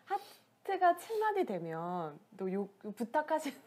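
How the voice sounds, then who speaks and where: A woman's voice: sits high, wildly swooping pitch, unhurried. A young woman hosting a casual livestream interview, asking questions and passing along viewer comments in a small indoor room. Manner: bright, chatty, reads viewer comments. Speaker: interviewer host.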